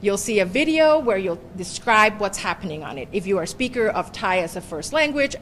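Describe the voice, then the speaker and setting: A middle-aged woman professor presents at a workshop in a relaxed, chatty way. She often ends her points with a quick "right?" to check in with listeners. A faint low rumble sits under her voice. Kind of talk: conversational presentation. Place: workshop room.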